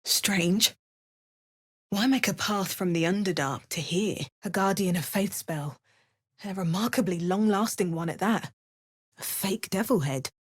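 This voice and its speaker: A woman's voice: medium pitch, measured pace, husky, dry recording. An actress playing a wry, battle-hardened young female adventurer in a fantasy video game, delivering dry asides. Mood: matter-of-fact. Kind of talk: video game character voice lines.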